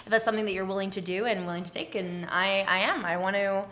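A woman's voice: medium pitch, steady tone, quick pace, fairly bright tone, slight background noise. A woman speaking as a podcast guest, earnest and informal, in casual conversational interview style.

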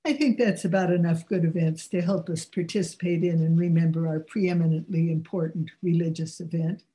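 An older woman with a low, mellow voice, giving a measured, earnest religious talk. Her tone is soft and warm, never sharp. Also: steady tone.

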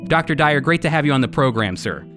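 A man's voice: on the high side, expressive, fast, loud music behind the voice. A man hosting and teaching on a radio Bible program, speaking in an earnest, emphatic, sermon-like way.

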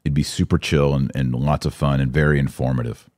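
Low-voiced American man, casual podcast host. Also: flat delivery, a little fast, darkish tone, dry recording, studio quality. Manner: informal slangy talk. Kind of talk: casual live commentary, reading and answering viewer comments.

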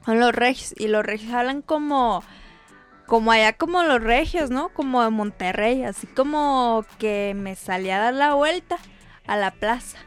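A woman's voice: on the high side, a little slow, clear, resonant tone, soft background music. A woman with a central Mexican accent, co-hosting a podcast in a playful, slangy, teasing way. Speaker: podcast co-host. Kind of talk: casual chat.

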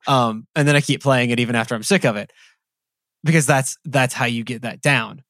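Male podcast co-host, mid-pitched, loose chatty delivery, dry close mic. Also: fairly quick, no room sound. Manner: relaxed, rambling with frequent "like" fillers. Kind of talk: casual conversation.

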